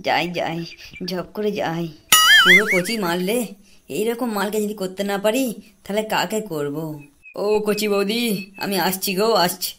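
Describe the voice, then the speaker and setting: A woman voicing a lecherous, sneaky, boastful young cartoon man with a West Bengal Bengali accent, slangy and excitable. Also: on the low side, slight background noise, close to an everyday voice. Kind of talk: comic cartoon dialogue.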